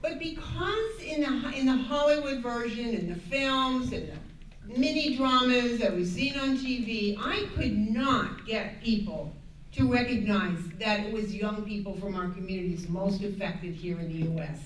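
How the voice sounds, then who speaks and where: High-voiced American woman speaking from far off. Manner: earnest, emphatic, halting with repeated rephrasing. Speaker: activist speaker on a panel. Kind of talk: unscripted advocacy talk to an audience. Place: echoey indoor room at a public panel event. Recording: echoey room, distant mic, background noise.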